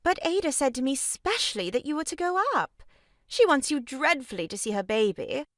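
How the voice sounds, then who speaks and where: Woman acting a high, swooping, theatrical pushy lady. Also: lively intonation, fairly quick, dry recording, clean studio sound. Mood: coaxing. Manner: dramatic, insistent, mannered. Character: pushy, theatrically pious young lady relative of farce. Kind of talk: stage farce audio drama acting.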